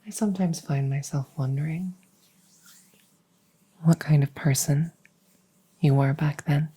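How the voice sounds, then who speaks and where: Woman playing a low, breathy, devoted vampire maid. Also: full character voice. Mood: tender, wistful. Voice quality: soft, breathy, often whispering. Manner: gentle, unhurried, deferential, formal. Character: devoted, doting undead vampire maid serving a ruler. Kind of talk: soft-spoken roleplay ASMR.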